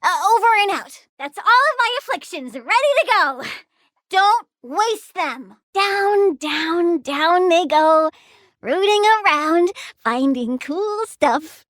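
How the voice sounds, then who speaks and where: A young girl villainess from a video game, very high in pitch and animated. She is eager and morbid, with a petulant, sassy edge. The recording is close and dry. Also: takes it slowly, dry recording, exaggerated voice. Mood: eager, chatty. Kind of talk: video game character voice acting.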